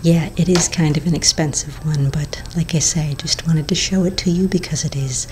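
A woman in her thirties whispers right into a close mic, her voice low and breathy, with the soft, unhurried pace and gentle "um"s of an ASMR roleplay. She plays a friendly shopkeeper talking a customer through her wares. A faint low rumble sits under the whisper in a small indoor room. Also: steady tone. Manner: soft, slow whisper with hesitant fillers. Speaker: ASMR creator playing a potion shopkeeper. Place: small indoor room before shelves of bottles. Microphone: close studio mic in front.

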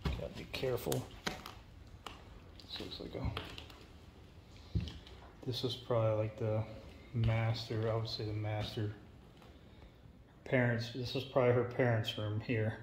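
Man musing aloud, fairly flat pitch. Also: unhurried, long pauses. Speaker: urban exploration vlogger. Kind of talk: casual, off-the-cuff walk-through narration. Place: small empty wooden house, indoors. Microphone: handheld phone behind the camera. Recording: noisy handheld recording with clicks.

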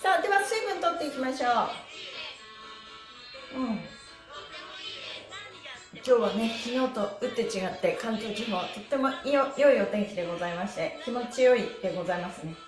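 A woman's voice: on the high side, sing-song, brisk, pauses often. A middle-aged woman leading a stretching lesson in a polite, bubbly, sing-song way, talking to a camera in a small room. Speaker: stretching instructor and livestream host. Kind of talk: cheerful exercise lesson, chatting and counting chants. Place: small indoor studio room, sitting on a mat. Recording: echoey room with music.

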